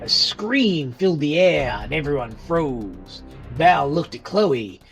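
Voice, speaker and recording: A woman's voice: low-pitched, sing-song, slow pace, raised voice, soft background music. A woman reading a scripted horror story as its narrator.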